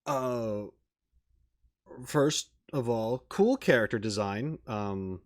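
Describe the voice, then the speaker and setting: Mid-pitched man thinking aloud. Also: slow. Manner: hesitant, thinking aloud, repeating words as he puzzles. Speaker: video game reaction host. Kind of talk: casual off-the-cuff commentary.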